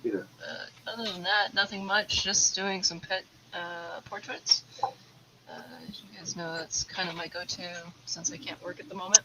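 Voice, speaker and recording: A woman's voice: fairly low pitch, steady tone, normal speed. Woman, native english speaker, chatty, explaining at length, video call, noisy background. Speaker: guest tarantula keeper. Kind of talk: casual live-stream chat.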